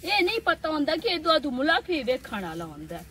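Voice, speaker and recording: A young woman with a rural Pakistani Punjabi accent, playing a bossy, sharp-tongued village woman scolding her suitor in a comic drama. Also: sits high, very animated, a little fast, heavily acted. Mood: impatient. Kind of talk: improvised comic drama dialogue. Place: outdoors by a brick wall and field. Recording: distant camera sound, loud outdoor noise.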